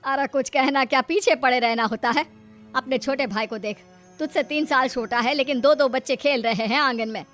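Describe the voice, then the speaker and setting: Woman acting nagging high-pitched cartoon mother. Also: normal speed, fairly bright tone, faint music behind. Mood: scolding. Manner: scolding, taunting. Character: nagging, disapproving mother of grown daughter. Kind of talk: Hindi cartoon story acting.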